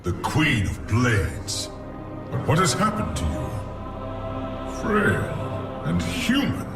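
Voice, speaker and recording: An actor playing a proud, defiant alien warrior in a video game, speaking in a grand, declamatory way. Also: male voice, medium pitch, wildly swooping pitch, slow, vocal fry, heavily acted. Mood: scornful surprise. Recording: echoey, distant, loud music behind.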